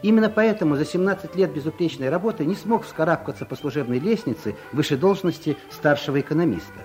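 A man's voice: fairly high pitch, animated, fast talker, darkish tone, soft background music. A man introducing characters in a radio comedy with playful, teasing asides. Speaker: narrator. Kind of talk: wry radio-play narration.

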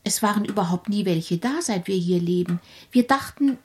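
A woman's voice: medium pitch, lively intonation, normal speed, a little mellow, close to an everyday voice. An actress in a German radio play playing a timid, fragile young widowed mother, meek and hesitant in her manner. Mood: timid, polite.

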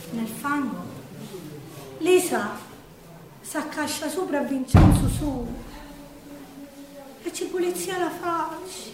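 A woman with a Sicilian accent performing dramatic theatrical storytelling in verse, declamatory and lamenting. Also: high-pitched. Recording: echoey room, distant from the mic.

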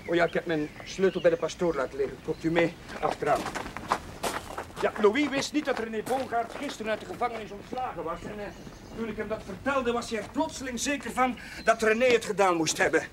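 An actor playing a gentle, flustered, self-mocking young village priest in a television period drama, speaking hesitantly. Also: male voice, high voice, lively intonation, fairly quick, background noise. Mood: agitated, self-mocking. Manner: hesitant, stammering. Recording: music behind, 1970s television soundtrack.

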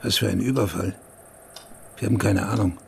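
Gravelly, sardonic police sergeant. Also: male voice, fairly low pitch, steady tone, a little fast, slight background noise. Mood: businesslike. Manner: sardonic, colloquial, blunt. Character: gruff, sardonic male police sergeant, streetwise and blunt. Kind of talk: radio drama acting.

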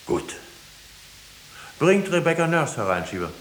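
An actor playing a stern, solemn judge who presses insistently for a confession, in 1950s German radio drama style. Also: male voice, a little high, big pitch swings, unhurried. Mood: solemn, probing. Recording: hissy, echoey 1950s radio recording.

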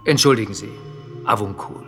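A man's voice: on the low side, a little fast, fairly bright tone, faint music behind, natural voice. An actor playing a guarded man in a radio drama, reserved, courteous and evasive. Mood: polite, reserved. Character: guarded, polite man raised underground.

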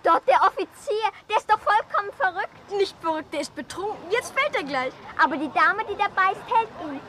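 A woman playing an excited, chattering small boy in a vintage German radio drama. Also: extremely high pitch, fairly quick, full character voice. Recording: 1960 radio, faint outdoor station noise.

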